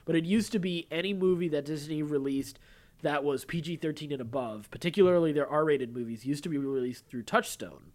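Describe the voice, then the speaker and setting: A man's voice: a little high, a little fast, a little mellow. A man hosting a podcast, moving between reading news and casually explaining his take in a talkative way. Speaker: podcast host.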